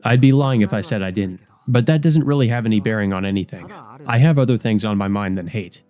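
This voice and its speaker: Man playing resolute teenage boy, visual novel hero, earnest, measured delivery, soft dark tone, faint music behind. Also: lowish voice, lightly acted. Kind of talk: visual novel character reading.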